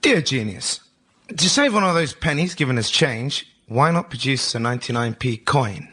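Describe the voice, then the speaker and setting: A man, a member of the public on a comedy show, pitching an idea and giving short replies in a live studio. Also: lively intonation, moderate pace, sharp tone, a little hiss or hum. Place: studio stage with audience.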